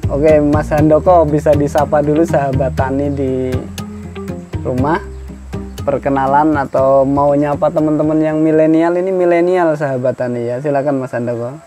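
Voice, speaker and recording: A man's voice: on the high side, fairly even intonation, moderate pace, music in the background. A man, hosting a casual farm interview in a greenhouse, chatty and full of leading questions. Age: 30s. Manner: chatty, friendly, asks many leading questions. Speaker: podcast host, agricultural extension worker. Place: outdoor greenhouse on a hillside.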